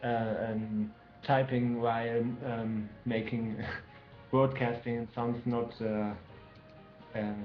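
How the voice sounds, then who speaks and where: A man co-hosting a livestream, talking in a halting way full of fillers. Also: mid-range voice, flat delivery. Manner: halting, fillers, laughs at himself. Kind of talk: casual chat. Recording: roomy, dull lo-fi audio with faint music.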